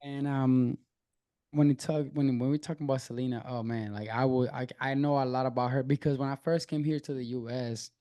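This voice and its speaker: A man's voice: mid-range voice, fairly even intonation, fairly quick, warm tone, no room sound, lo-fi sound. A man hosting an interview, chatting casually and enthusiastically, often repeating himself. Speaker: interview host.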